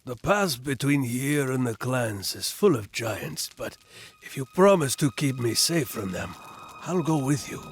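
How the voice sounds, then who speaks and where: An actor playing a dry, skeptical Norse skald in video game cutscenes. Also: male voice, medium pitch, very animated, measured pace. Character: wry Norse skald, sardonic and cautious. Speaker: game character.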